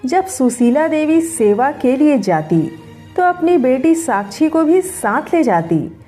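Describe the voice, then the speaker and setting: A woman reading a scripted story in an expressive storytelling manner. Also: high-pitched, takes it slowly. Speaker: story narrator. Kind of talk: scripted Hindi story narration. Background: background music.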